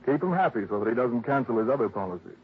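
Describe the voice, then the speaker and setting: A businesslike American insurance man played by a male actor in fifties radio drama. He talks quickly and matter-of-factly, deadpan, on a dull old recording with faint hiss. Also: medium pitch, natural voice. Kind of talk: 1950s radio drama acting.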